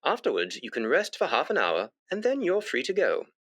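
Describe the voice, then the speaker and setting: An actor playing a brisk, patient doctor in a British radio comedy, formal, explanatory and reassuring. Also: male voice, fairly high pitch, huge pitch swings, a bit bright, exaggerated voice. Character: brisk, patient doctor persuading a blood donor.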